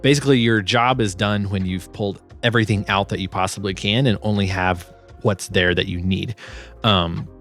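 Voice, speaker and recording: A man with a fairly low voice hosts a sound design walkthrough. He talks informally and a little haltingly, as if thinking aloud. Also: fairly bright tone. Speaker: sound designer and video host. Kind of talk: casual tutorial walkthrough.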